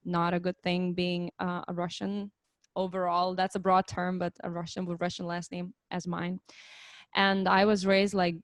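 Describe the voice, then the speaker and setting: A woman's voice: lowish voice, no echo. Woman guest, 30s, thoughtful and hesitant, dry video-call audio. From Lithuania. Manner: thoughtful, hesitant, many fillers. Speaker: musician guest. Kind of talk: reflective interview answers. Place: home, online interview.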